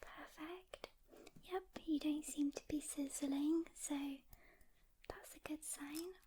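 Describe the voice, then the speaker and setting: A woman playing a calm, weary demon hunter mentoring a newcomer in a gentle, hesitant whisper, as soft-spoken ASMR roleplay. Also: sits high, takes it slowly. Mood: reassuring, careful.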